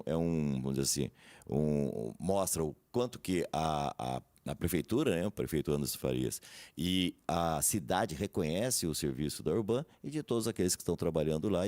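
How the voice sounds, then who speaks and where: Homem de voz grave e seca, hesitante. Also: male voice, a bass voice, a little mellow, no room sound. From Brazil, grew up in São José dos Campos. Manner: rambling, hesitant, tags sentences with "né?". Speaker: guest, company director. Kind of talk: radio interview.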